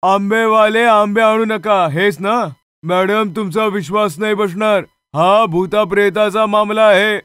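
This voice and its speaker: An actor playing a poor, humble street mango seller, deferential and pleading, in a horror story. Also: male voice, high voice, fairly even intonation, measured pace, hardly any pauses, fairly bright tone, clear, resonant tone, no echo. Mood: grave.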